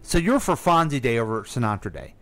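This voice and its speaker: Male American radio co-host, mid-range voice, halting and wry in conversation. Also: mid-range voice, sing-song. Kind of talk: casual radio discussion.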